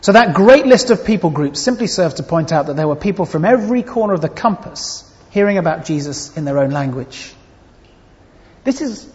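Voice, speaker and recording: A man preaching a sermon, earnest and expressive, with shifting emphasis as he reads and explains scripture. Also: on the high side. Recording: dull sound with long hall reverb.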